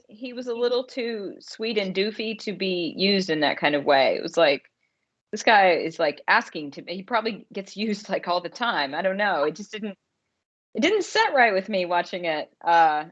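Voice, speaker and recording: A woman's voice: mid-range voice, expressive, normal speed, a bit bright. A woman podcast co-host chatting casually about a film, thinking aloud in informal, slangy language. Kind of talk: casual conversational discussion. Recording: slightly dull, lo-fi podcast audio.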